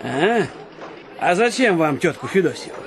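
An actor playing a hearty young village blacksmith in a radio play, with a folksy, open manner. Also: male voice, high-pitched, sing-song, unhurried, exaggerated voice. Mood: curious, friendly.

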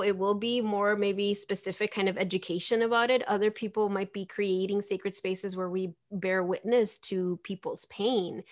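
A woman in her forties whose first language is Spanish, talking earnestly and reflectively as a guest on a video call from home. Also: mid-range voice. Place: home room with plants and window. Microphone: video call webcam.

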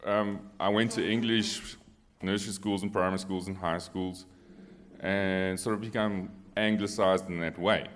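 A man's voice: low voice, fairly even intonation, normal speed, a little hiss or hum. A middle-aged man with a South African accent, a native Afrikaans speaker, telling personal stories casually on a panel into a handheld microphone. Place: on stage in a church hall.

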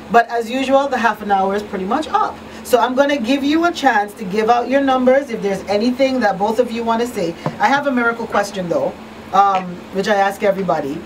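Woman radio host, middle aged, emphatic, highish voice, kinda far from the mic w noise. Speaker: talk show host. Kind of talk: conversational radio hosting. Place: small radio studio. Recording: noisy, distant mic.

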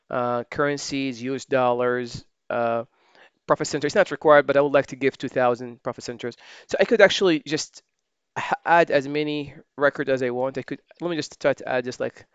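Man, software tutorial voice-over, smooth voice, casual and self-correcting, dry slightly muffled sound. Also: medium pitch, moderate pace, dry recording. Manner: relaxed, thinking aloud while typing, many fillers and self-corrections. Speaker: software trainer. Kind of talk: screen-recorded software tutorial.

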